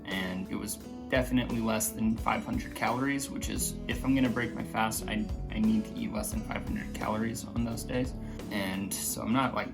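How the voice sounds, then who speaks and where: A man with a slightly raspy voice chatting casually in a vlog, hesitant, with many fillers and restarts. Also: on the low side, fairly even intonation, normal speed, music in the background. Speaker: vlogger.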